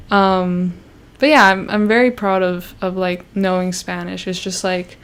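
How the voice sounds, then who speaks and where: A woman's voice: lowish voice, flat delivery, slow pace, fairly bright tone, clear, resonant tone. A young woman from Canada chatting on a livestream, rambling with many fillers and restarts and sounding self-conscious. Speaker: YouTuber hosting a livestream. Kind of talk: casual livestream chat.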